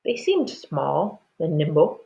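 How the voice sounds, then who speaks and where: Animated woman storyteller with a creaky edge. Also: sits low, highs cut off. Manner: animated, dramatic, deliberate. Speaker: read-aloud storyteller. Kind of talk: expressive children's storybook narration.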